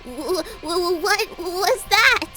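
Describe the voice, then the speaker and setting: High, stammering, timid lizard monster. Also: female voice, extremely slow, sharp tone, soft background music, full character voice. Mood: frightened. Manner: stammering, hesitant, nervous. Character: timid, anxious, nerdy female lizard monster. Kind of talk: audio drama character acting.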